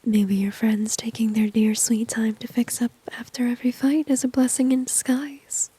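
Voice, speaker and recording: A woman's voice: fairly high pitch, little pitch movement, normal speed, mellow, slightly rough. A woman playing a flirty, dominant, confident villain in an ASMR roleplay, whispering breathily and teasingly close to the microphone. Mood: coaxing, confident. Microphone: close microphone, dry.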